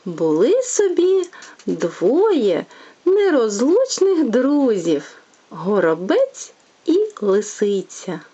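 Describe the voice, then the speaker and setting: A woman storyteller reading a fairy tale to a small child, gently and expressively, speaking directly to the young listener. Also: a little high, wildly swooping pitch, drawn-out words, husky.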